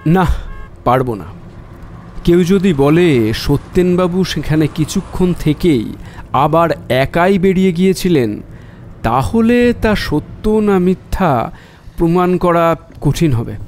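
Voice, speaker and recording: A man's voice: a little high, expressive, slow pace, long pauses, a little mellow, a little hiss or hum. A man acting a shrewd, courteous private detective in an audio drama, probing and decisive in his talk. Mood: measured reasoning.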